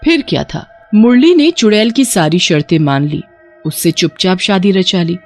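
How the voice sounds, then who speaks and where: A woman narrating a scripted horror story in a steady storytelling voice. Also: moderate pace, faint music behind. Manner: steady storytelling, dropping lower for eerie moments.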